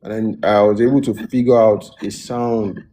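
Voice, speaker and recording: Low-voiced man, loose and relaxed. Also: normal speed, a little hiss or hum. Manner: relaxed, loose storytelling with many restarts. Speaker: music producer guest. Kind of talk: casual interview.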